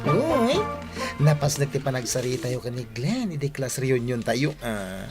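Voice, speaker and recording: Nosy teasing ilocano bestie, low voice that swings all over, excitable, radio drama. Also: female voice, a little slow, loud music behind the voice, full character voice. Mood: teasing, prying. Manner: teasing, exclamatory. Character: nosy, teasing, excitable best friend, young woman.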